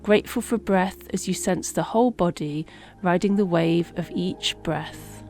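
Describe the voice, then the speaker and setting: A woman guiding a meditation in a gentle, unhurried manner, leaving long pauses between phrases. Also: lowish voice. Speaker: meditation guide. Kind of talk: guided meditation narration. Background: relaxing music.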